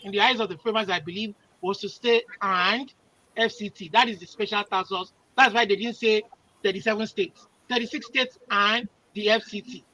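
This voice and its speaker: A man's voice: high voice, steady tone, moderate pace, bright, forceful tone, slight background noise, poor audio. A man, a panel guest, argues insistently and leans hard on single words to make his point. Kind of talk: heated live panel discussion.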